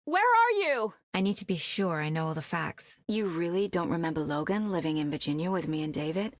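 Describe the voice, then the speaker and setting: A woman's voice: fairly low pitch, very animated, fairly quick, no echo, clean studio sound. A woman playing a serious, determined FBI agent in a video game, often musing to herself as she investigates. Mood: serious, questioning. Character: determined, level-headed female FBI agent investigating supernatural horror. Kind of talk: dramatic video game voice lines.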